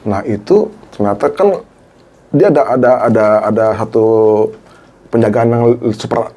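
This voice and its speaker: Animated man telling a story. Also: medium pitch, moderate pace. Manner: animated, acts out quoted dialogue, Jakarta slang. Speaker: guest, former TV cameraman. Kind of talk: casual storytelling chat.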